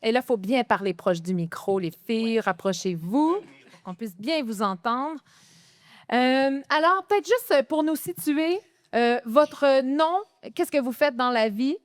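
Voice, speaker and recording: A woman's voice: a little high, animated, measured pace, no room sound. A woman with a Quebec French accent co-hosting a live podcast in a chatty, upbeat way. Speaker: podcast co-host. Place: event in front of a live audience.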